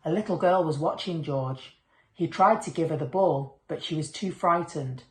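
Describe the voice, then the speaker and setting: A woman's voice: sits low, normal speed. A woman reading a children's picture book aloud as a storyteller, expressively acting out the dialogue. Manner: expressive, acts out dialogue and sound words. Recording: echoey room.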